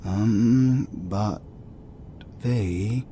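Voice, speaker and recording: A man's voice: fairly low pitch, very slow, warm tone, voice pushed down. A man voicing a meek, downcast British male character, hesitant and subdued, in an audio roleplay. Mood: meek, hurt. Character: meek, downcast dark male character pleading his case.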